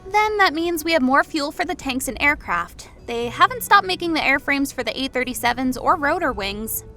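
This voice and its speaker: A woman's voice: high-pitched, animated, moderate pace, bright, forceful tone, faint music behind, exaggerated voice. A woman playing an eager, amused female soldier in an audio drama. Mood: excited, amused. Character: Chicks, eager, amused female soldier studying military schematics. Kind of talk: audio drama character acting.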